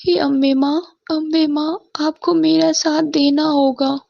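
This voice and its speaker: A woman's voice: sits high, level tone, unhurried, creaky, a little mellow, highs cut off, voice pushed up. A woman voicing a devoted, determined poor wife and mother in a moral story, earnest and pleading. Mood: fervent pleading. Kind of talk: animated moral-story voice acting.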